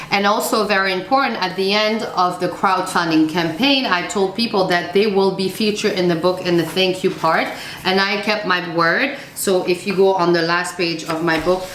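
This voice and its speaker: A woman's voice: on the low side, a bit bright. Encouraging Moroccan-born woman in an echoey room. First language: French and Arabic. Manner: upbeat and encouraging, speaks directly to viewer. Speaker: vlogger sharing self-publishing advice. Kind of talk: casual how-to talk to camera. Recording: echoey room with faint noise.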